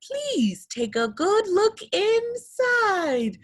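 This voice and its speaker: A woman's voice: very high-pitched, sing-song, extremely slow, pitched above the performer's own voice, heavily acted. A woman voicing a grinning, menacing shark with a sly, coaxing manner for a children's storybook. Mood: sly, inviting. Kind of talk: children's picture-book read-aloud.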